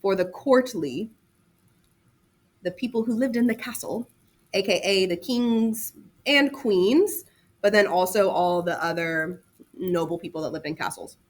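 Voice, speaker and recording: A woman's voice: mid-range voice, unhurried. A woman teacher giving a casual lesson to students, talking informally with slang and chatty asides and repeating key terms.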